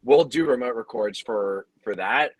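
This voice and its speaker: A man's voice: medium pitch, a little slow, slight background noise. A man explaining things in businesslike detail in a conversational panel discussion. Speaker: audio drama producer on a panel.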